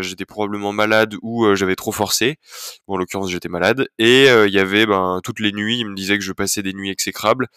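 A man's voice: lowish voice, a bit bright, clear, resonant tone, no room sound, studio quality. A young man hosting his own podcast while he has a cold, talking casually with many fillers and restarts. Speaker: podcast host. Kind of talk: solo podcast chat.

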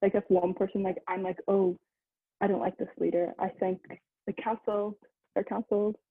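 A woman's voice: medium pitch, level tone, moderate pace, dry recording. A young woman, a student, chatting loosely in a discussion with lots of "like" fillers. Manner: rambling, many "like" fillers. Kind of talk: casual discussion. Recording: dry, phone-like, husky-sounding line.

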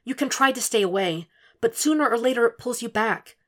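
A woman's voice: expressive, brisk, natural voice. A woman voicing a distraught young woman who argues on the phone and pleads for reassurance. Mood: pleading. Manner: pleading, high-strung, shouting in anger.

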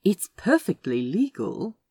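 A woman voicing a genteel, friendly man in a fan fiction audio story, speaking politely with a husky, creaky voice. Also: mid-range voice, sing-song, unhurried, warm tone, no echo. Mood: casual, amiable. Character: Aziraphale, genteel, friendly man who smokes on occasion. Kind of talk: fan fiction podfic character acting.

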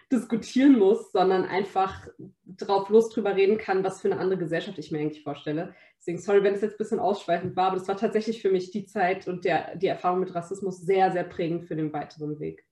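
Mellow young woman in a roomy space. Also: medium pitch, steady tone, a little fast. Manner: earnest, searching for words. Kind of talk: reflective personal account in a discussion. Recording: roomy, echoing sound.

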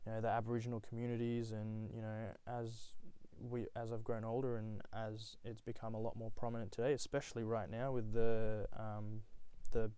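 A man's voice: fairly low pitch, level tone, moderate pace, few pauses, mellow, clear, resonant tone, dry recording. A young man from Nepal, telling his own story in a reflective, rambling way with many fillers. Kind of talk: casual personal storytelling.

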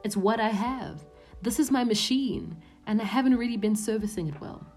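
A woman's voice: a little high, moderate pace, a little mellow, faint music behind. A woman in her thirties hosting a podcast, speaking in an earnest, reflective monologue that often sinks to a near-whisper. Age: 30s. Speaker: podcast host.

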